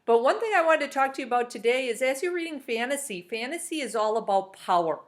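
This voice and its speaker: A woman teacher talking to her class and reading a novel aloud in an upbeat, encouraging, steady storytelling manner. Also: high-pitched, quick pace.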